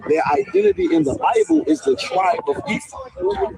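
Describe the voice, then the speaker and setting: A man's voice: very high voice, wildly swooping pitch, normal speed, gravelly, a little mellow. A man preaching in a heated street debate, insistent and repeating his phrases to hold the floor.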